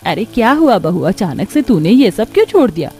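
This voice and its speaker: Woman voicing a gentle, reassuring mother-in-law. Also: mid-range voice, very animated, a little fast, soft background music. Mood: concerned. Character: kind, patient, reassuring middle-aged mother-in-law. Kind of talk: cartoon story dialogue.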